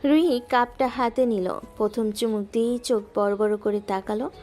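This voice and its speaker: A woman narrating a romantic audio story in a gentle, measured way, with soft music underneath. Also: a little high, steady tone.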